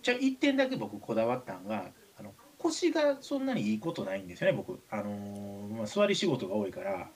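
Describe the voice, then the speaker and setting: Animated Kansai man telling casual stories. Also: lowish voice, brisk, few pauses, husky. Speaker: radio show talker, writer. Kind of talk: casual chat and anecdote telling.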